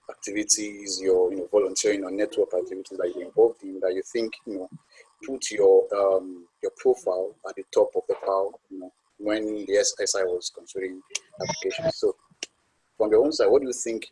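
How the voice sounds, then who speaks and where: A man's voice: low-pitched, dark tone, breathy edge, poor audio. A man moderating a panel discussion, asking long rambling questions full of fillers. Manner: rambling, lots of "you know".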